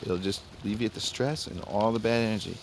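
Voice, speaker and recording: An actor playing a laid-back, evasive young party drug dealer who talks in stoner slang, in a naturalistic audio drama. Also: male voice, lowish voice, fairly quick, creaky. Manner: slangy stoner talk, peppered with bro and man. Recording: faint ambient background noise.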